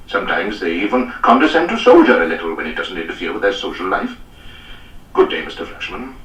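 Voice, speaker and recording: A man's voice: low voice, very animated, brisk, fairly bright tone, echoey room, far from the mic, low-quality recording. A male narrator voicing a dry, weary veteran army captain with an odd Welsh-sounding lilt, wry and understated.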